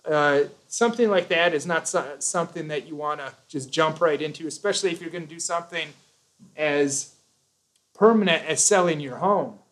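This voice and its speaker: A talkative man hosting a live stream, reading viewer questions and answering at length. His voice sits a touch high for a man. He jokes, corrects himself mid-sentence, and keeps things casual. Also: moderate pace, a bit bright. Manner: chatty, rambling, self-correcting, jokey.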